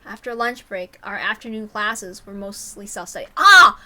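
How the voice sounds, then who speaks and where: A woman's voice: medium pitch, expressive, normal speed, bright, forceful tone. A woman reading a story aloud expressively, with casual commentary of her own. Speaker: reader and commentator.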